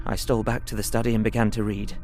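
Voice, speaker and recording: An actor playing an earnest, anxious young solicitor held captive, narrating his journal in a measured, literary way for an audio drama. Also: on the low side, flat delivery, darkish tone, soft background music, close to an everyday voice. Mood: tense dread.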